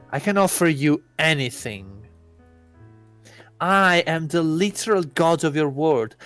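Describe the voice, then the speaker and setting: A man's voice: a little high, fairly bright tone, pitched above the performer's own voice. A man voicing an arrogant god posing as an exchange student, pompous and disdainful, in a visual novel. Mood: insistent boasting. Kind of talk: visual novel read-aloud.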